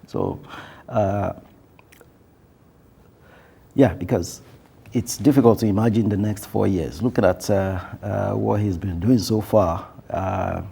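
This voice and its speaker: A man speaking on a television panel, thoughtful and halting, with many fillers and restarts. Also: lowish voice, creaky, mellow, slightly rough. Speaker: panel guest. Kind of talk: panel discussion.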